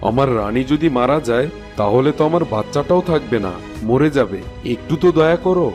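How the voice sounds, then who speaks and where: A man's voice: mid-range voice, expressive, brisk, natural voice. A man voicing an anxious fairy-tale king, a fond husband and father, earnest and pleading in a cartoon. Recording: music behind the voice. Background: music.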